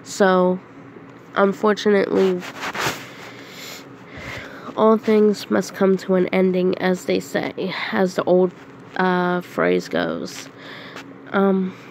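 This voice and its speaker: A woman with a head cold, sounding nasal and hoarse, talking to her channel's viewers in a slow, halting casual announcement. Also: medium pitch, a little mellow, a little hiss or hum, low-quality recording. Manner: slow, halting, with frequent throat clearing and coughs. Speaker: channel host. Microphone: phone screen recorder.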